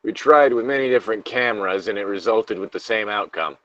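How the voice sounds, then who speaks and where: A man's voice: on the low side, fairly quick, a bit bright, lo-fi sound. A man reading horror stories as a co-host, with casual, sardonic, sweary asides. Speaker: co-host reading video game creepypastas.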